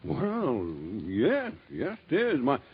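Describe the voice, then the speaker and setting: A husky, stammering street Santa. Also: male voice, a little high, wildly swooping pitch, slow pace, heavily acted. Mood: flustered. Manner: stammering, flustered. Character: nervous older man, street-corner Santa. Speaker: charity Santa Claus. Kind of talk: 1940s radio acting. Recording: muffled old radio recording.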